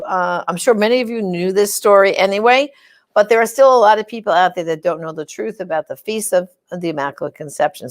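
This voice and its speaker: A middle-aged woman hosting a religious program, speaking in a conversational, explanatory way and stressing key words as she gives a devotional talk. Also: mid-range voice.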